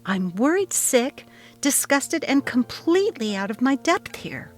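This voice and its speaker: Story role: worried middle-aged mom woman performing, emotional and anxious, lifted pitch, music underneath. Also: sing-song, a little slow. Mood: shocked, worried. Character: worried, resentful middle-aged mother Elle.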